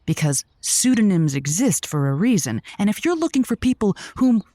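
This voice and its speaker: A woman's voice: medium pitch, big pitch swings, normal speed, mellow, no room sound, clean studio sound. A woman playing a breezy, supportive radio advice host in an audio drama, with a playful, emphatic delivery. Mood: breezy, encouraging.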